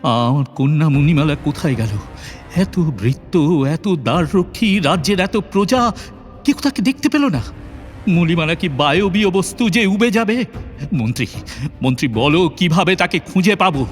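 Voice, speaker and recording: A man's voice: a little high, animated, close to an everyday voice. Imperious, distraught father-king played by a man, exclamatory audio drama delivery, long reverb with faint music. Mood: distraught, demanding. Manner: theatrical, halting, exclamatory. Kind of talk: fairy-tale audio drama acting.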